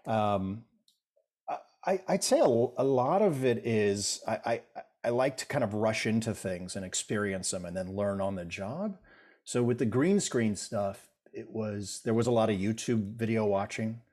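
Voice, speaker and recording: A man's voice: on the low side, a little slow, a little mellow. Chatty middle-aged man telling a story. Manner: rambling, self-deprecating storyteller with frequent restarts. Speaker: guest. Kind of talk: casual interview chat.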